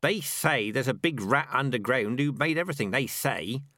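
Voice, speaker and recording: A man's voice: mid-range voice, expressive, normal speed, pushed and bright, no room sound, clean studio sound, pitched above the performer's own voice. A man voicing a credulous, gossipy rat in an audiobook, talking persuasively and colloquially. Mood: eager, speculative.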